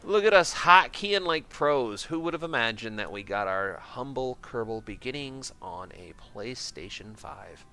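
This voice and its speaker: A man's voice: mid-range voice, lively intonation, measured pace, hardly any pauses, bright, forceful tone, clean tone. A man from Michigan with an American accent, doing casual, jokey gameplay commentary and chatting with viewers in slangy, self-deprecating talk. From Michigan, United States. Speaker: game streamer.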